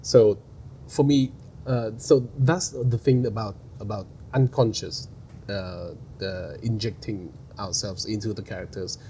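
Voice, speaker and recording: A man with a Vietnamese accent talks earnestly as a podcast guest. His voice sits fairly low and mellow. He often repeats words while he searches for the next one. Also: fairly even intonation, a little slow, a little hiss or hum, low-quality recording. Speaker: animation studio head, guest. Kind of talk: podcast interview.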